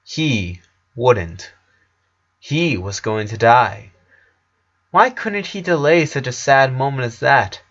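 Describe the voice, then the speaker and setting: A man's voice: medium pitch, expressive, takes it slowly, pauses often, a bit bright, highs cut off. A man reading a dramatic story aloud as narrator. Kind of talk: dramatic fan fiction narration.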